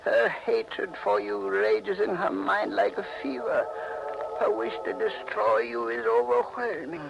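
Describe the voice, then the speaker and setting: An actor playing a solemn, ominous fortune-telling servant in a 1940s radio horror drama, speaking slowly and formally. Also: male voice, high-pitched, animated, creaky, lo-fi sound, heavily acted. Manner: slow, portentous, formal. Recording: old 1940s radio recording with music.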